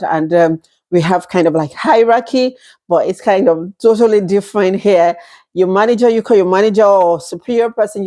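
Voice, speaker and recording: Nigerian woman, 30s, casual vlog host, talkative with easy laughs, mid-pitched voice. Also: darkish tone. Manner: chatty, laughs often, acts out quoted exchanges. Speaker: vlogger. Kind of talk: casual talk to camera.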